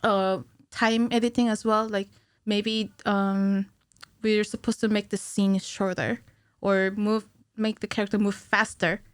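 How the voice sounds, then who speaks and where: A woman in her thirties speaking as a podcast guest, explaining technical work conversationally and a little haltingly, thinking aloud into a studio microphone. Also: mid-range voice, flat delivery, clear, resonant tone, dry recording. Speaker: podcast guest, cinematic animator. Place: small indoor podcast studio. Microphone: studio microphone on a stand.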